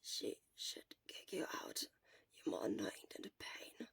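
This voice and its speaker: Woman acting weary young man, audio story, whispery, hoarse, terse, grumpy, quiet mumble. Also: unhurried, natural voice. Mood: weak, irritated.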